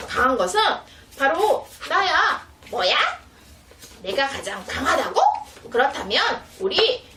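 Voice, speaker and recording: A woman's voice: high-pitched, very animated, slow, sharp tone, voice pushed up, exaggerated voice. A woman voicing a proud, defiant Sun from a children's fable with emphatic, exaggerated storytelling. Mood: defiant, challenging. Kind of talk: children's storybook read-aloud. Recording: roomy, distant mic, faint noise.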